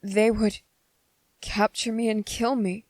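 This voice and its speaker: A woman voicing a possessive, lovesick male vampire in an intimate ASMR roleplay, speaking tenderly and haltingly, with coaxing deliberation. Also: medium pitch, little pitch movement, a little mellow, no echo. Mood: gentle, sorrowful. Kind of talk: ASMR character roleplay, intimate scripted acting.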